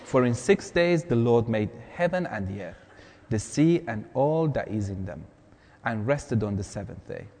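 A male pastor speaks to his congregation in an informal, conversational sermon style. His medium-pitched voice rings through a hall with long reverb. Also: animated, normal speed, a little mellow. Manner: informal, quizzing the listeners. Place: large reverberant church hall.

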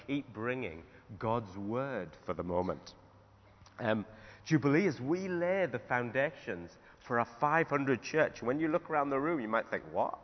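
A man, a pastor preaching a sermon in a croaky voice, often restarting his sentences. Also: medium pitch. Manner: rambling with restarts. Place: church hall. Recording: dull sound in a reverberant hall.

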